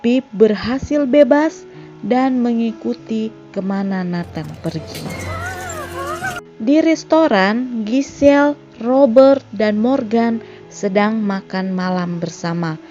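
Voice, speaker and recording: A woman's voice: fairly high pitch, slow pace, warm tone, lo-fi sound. A woman reading a scripted narration that recaps a film's story. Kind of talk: scripted narration of a film plot. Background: background music.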